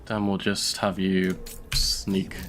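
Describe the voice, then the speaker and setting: A man's voice: low voice, completely flat delivery, slow, over music. A young man with a London English accent, stuffy from a cold, chatting casually while streaming a game live. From United Kingdom. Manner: chatty, laughs often, thinks aloud. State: stuffy from illness. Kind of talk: casual gameplay commentary.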